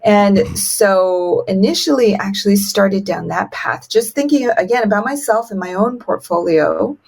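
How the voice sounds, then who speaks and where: A woman's voice: medium pitch, lively intonation, moderate pace, darkish tone, slightly rough. A woman in her thirties, raised in China with Mandarin as her first language, telling her life story as a podcast guest, acting out quotes with big jumps in her voice.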